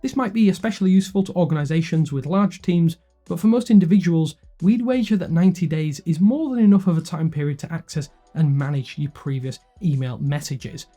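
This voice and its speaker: A man's voice: on the high side, brisk, warm tone. A man hosting and narrating a scripted tech review. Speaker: tech reviewer and video host. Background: background music.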